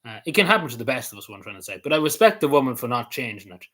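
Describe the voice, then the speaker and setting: A man's voice: mid-range voice, sing-song, very fast, fairly bright tone. A man rambling casually on camera, hesitant, with many fillers, restarts and small chuckles. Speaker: vlogger.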